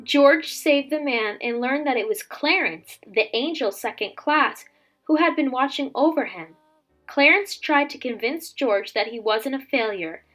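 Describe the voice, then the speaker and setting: A woman reading a children's story aloud as a teacher would, with dramatic, expressive delivery. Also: fairly high pitch. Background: background music.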